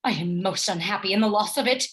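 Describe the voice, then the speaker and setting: A woman playing an earnest, distressed young noblewoman and loyal wife in a Shakespearean radio play, with a husky voice. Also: mid-range voice, quick pace, a bit bright. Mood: troubled.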